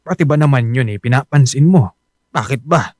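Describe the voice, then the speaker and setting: A man's voice: medium pitch, expressive, moderate pace, dry recording, studio quality, natural voice. A Filipino actor playing a self-sacrificing working-class husband and father, defensive and pleading, in a Tagalog radio drama. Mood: evasive, defensive. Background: musical underscore.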